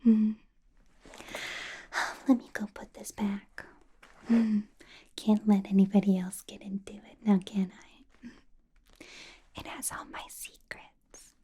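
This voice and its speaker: A woman playing a possessive, doting vampire boss in an ASMR roleplay, in a breathy whisper with a deliberate, coaxing, playfully menacing manner. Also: medium pitch, level tone, dark tone. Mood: coy, secretive. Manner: slow, deliberate, coaxing, teasing.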